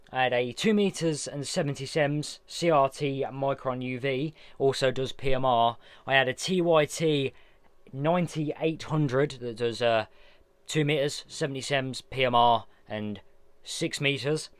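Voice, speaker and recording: Chatty man, smooth close voice. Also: mid-range voice, a little slow, no echo. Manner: chatty, hands-on, narrating each step. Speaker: vlogger unboxing radio gear. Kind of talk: casual product unboxing walkthrough.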